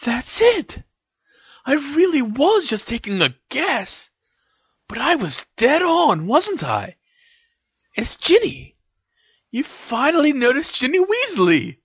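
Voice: high-pitched, huge pitch swings, moderate pace, dry recording, dull audio, voice pushed up, full character voice. A reader voicing a teenage girl witch, a bossy and teasing best friend who speaks in emphatic exclamations, for an audio story. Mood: amazed, gleeful.

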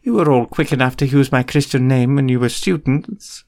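A man reading an audiobook, voicing a stern older female professor with a crisp, clipped, prim delivery. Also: mid-range voice, moderate pace, a little mellow, pitched above the performer's own voice, close to an everyday voice. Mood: tart. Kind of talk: audiobook character voice.

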